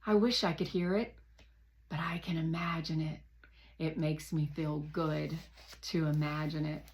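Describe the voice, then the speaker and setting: A woman voicing a curious, earnest robot rover in a children's storybook, speaking in a precise and measured way. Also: low-pitched, flat delivery. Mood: wistful, tender.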